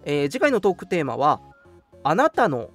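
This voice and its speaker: Young male host for casual radio talk; animated, quick, courteous, chatty delivery over faint music. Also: on the high side. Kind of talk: casual live radio chat with announcements.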